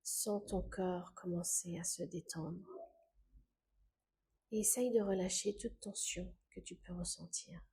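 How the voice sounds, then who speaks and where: A woman guiding a scripted meditation in a calm, gentle, unhurried way, with soft phrase endings that fade into breath. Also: lowish voice, slight background noise. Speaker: meditation guide.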